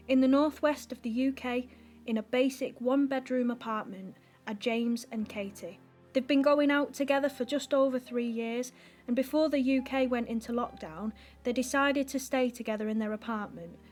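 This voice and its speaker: Woman reading scripted narration, high pitched voice, narrator for a story.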